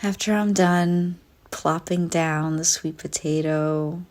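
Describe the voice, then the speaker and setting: A woman narrating a scripted guided visualization in a soft, soothing, deliberate way with frequent pauses. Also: fairly low pitch, fairly even intonation.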